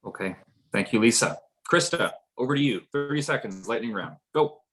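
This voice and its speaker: A man moderating a candidates forum, briskly handing off turns. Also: mid-range voice, expressive, fairly bright tone, breathy edge, faint music behind.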